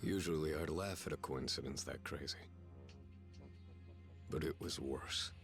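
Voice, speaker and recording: A man's voice: a bass voice, measured pace, fairly bright tone, exaggerated voice. A volatile gangster in a game drama. He is coarse and intense, weighed down by guilt, with music behind him. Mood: regretful, reflective. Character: volatile, guilt-ridden male gangster confessing betrayal. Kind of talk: dramatic English video game dub.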